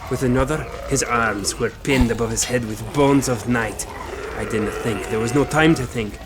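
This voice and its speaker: A man's voice: mid-range voice, normal speed, background noise, natural voice. An actor playing a grim man who recounts his violent boyhood in a solemn, bitter manner for an audio drama. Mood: grim intensity. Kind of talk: first-person dramatic storytelling in an audio drama.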